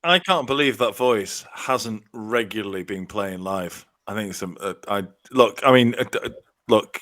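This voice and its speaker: A man's voice: on the low side, unhurried, fairly bright tone. A man hosting a podcast in casual chat over a video call, gushing with stammering enthusiasm. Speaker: podcast host.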